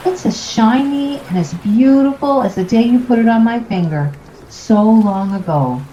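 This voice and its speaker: A woman's voice: fairly high pitch, expressive, moderate pace, warm tone, roomy sound, dull audio, slight background noise, lightly acted. A woman playing a firm, sentimental middle-aged wife in a radio play. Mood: fond. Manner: reproachful, firm.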